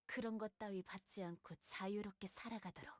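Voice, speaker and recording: A woman voicing a sweet, manipulative young yandere girl in a game, soft and persuasive, with very quick delivery. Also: mid-range voice, fairly even intonation, no echo, clean studio sound, close to an everyday voice. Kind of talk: game voice acting.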